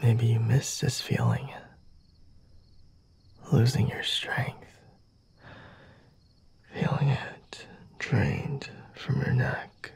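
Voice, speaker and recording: A man as a breathy, half-whispering flirty vampire. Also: mid-range voice, sing-song, darkish tone, full character voice. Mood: seductive, intimate. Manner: slow, deliberate, formal wording with sighs and hums. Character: flirty, condescending, merciful male vampire, self-assured and teasing. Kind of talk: ASMR roleplay.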